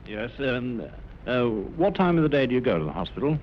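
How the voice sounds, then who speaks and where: A man's voice: mid-range voice, sing-song, a little fast, a little hiss or hum. A man interviewing and reporting hesitantly for 1950s radio. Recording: muffled 1950s recording, background voices.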